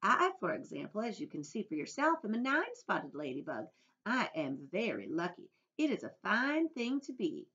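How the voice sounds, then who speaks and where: A woman's voice: medium pitch, huge pitch swings, moderate pace, fairly bright tone, pitched above the performer's own voice. A woman voicing a small, genteel female ladybug who speaks with refined, modest pride. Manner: refined, modest, slightly boastful. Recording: dull lo-fi audio.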